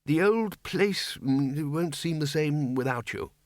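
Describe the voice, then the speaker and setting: Man voicing a fumbling old wizard chief, higher voice, slow, umming and stammering. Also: lively intonation, no room sound, studio quality. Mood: awkward farewell. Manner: hesitant, full of ums and stammers. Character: pompous elderly male head wizard, fumbling.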